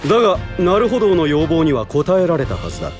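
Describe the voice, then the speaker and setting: A man's voice: fairly high pitch, wildly swooping pitch, faint music behind. A man playing an authoritative prosecutor in an audio drama, speaking formally with slyly knowing composure. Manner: formal, measured, lofty. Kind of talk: Japanese audio drama acting.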